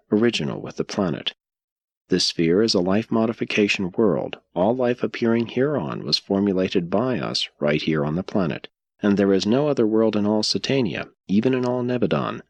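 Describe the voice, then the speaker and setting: Very deep husky man narrating an audiobook. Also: dry recording.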